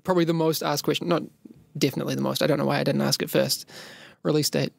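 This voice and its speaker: A man's voice: fairly high pitch, flat delivery, quick pace, vocal fry, dry recording. A man with a New Zealand accent hosting a casual podcast chat, joking and laughing often. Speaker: podcast host.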